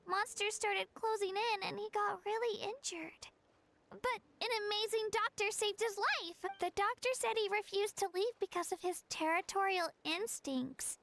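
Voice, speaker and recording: Very high-pitched earnest young game girl. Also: unhurried, gravelly, exaggerated voice. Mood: earnest, reflective. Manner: soft-hearted, earnest, hesitant at times. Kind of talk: video game voice acting.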